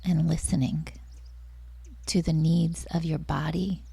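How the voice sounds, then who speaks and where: A woman with a soft, breathy voice gently leading a guided relaxation meditation in a soothing, unhurried manner. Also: low-pitched, level tone, no room sound.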